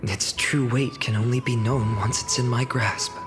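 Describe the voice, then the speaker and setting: A man's voice: medium pitch, fairly even intonation, normal speed, close to an everyday voice. A man playing an earnest, doubtful young village hero in a fantasy video game, with a hesitant, musing delivery. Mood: solemn, musing. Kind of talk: English video game voice acting. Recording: long hall reverb under music.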